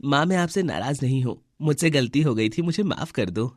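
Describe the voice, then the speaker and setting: An earnest young bird boy from a children's cartoon, as a voice actor plays him. He speaks politely at a middling pitch. The sound is dry and close. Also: lively intonation, fairly quick, dry recording, close to an everyday voice. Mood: apologetic. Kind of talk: children's story cartoon dialogue.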